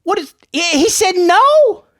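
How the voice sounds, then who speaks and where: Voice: very high-pitched, big pitch swings, slow pace, no room sound, studio quality, voice pushed up, heavily acted. The narrator voicing a dim, exasperated henchman who bickers in slangy, repetitive phrases. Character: dim, exasperated henchman squabbling with his partner.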